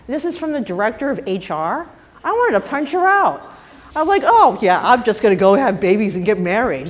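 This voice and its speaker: An older woman with a trace of a Boston accent talks candidly on a panel about her working life. Her pitch sits in a middling range, and her manner is wry, blunt and self-deprecating. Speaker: panel speaker. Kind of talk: candid personal talk.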